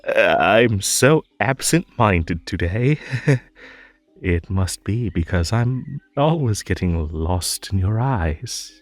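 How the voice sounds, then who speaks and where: A man playing a possessive magical tea-party host in an audio roleplay, over-polite and halting, with a menacing, unhinged edge under the sweetness. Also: fairly low pitch, no echo. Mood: playful, unsettling. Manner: halting, drawn-out, over-polite, swinging between coaxing and stern. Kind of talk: scripted roleplay audio drama.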